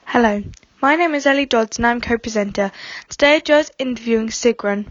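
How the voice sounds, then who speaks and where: A woman's voice: a little high, a little fast, lo-fi sound, noisy background. A female podcast co-presenter reading a scripted guest introduction.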